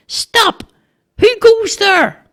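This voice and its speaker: A man's voice: very high-pitched, drawn-out words, fairly bright tone, pitched above the performer's own voice, heavily acted. A man voicing a timid, eager young Irish boy in a storybook tale. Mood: shrill challenge.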